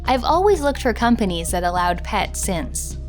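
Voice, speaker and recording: A woman's voice: medium pitch, very animated, moderate pace, faint music behind. A woman reading out first-person quotes as scripted narration for a documentary. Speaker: reader of quotes.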